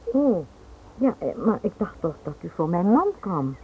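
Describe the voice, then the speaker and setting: An actress playing a reserved, polite titled lady in a radio play, flustered and halting. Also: fairly low pitch, very animated. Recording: tinny, noisy old radio drama recording.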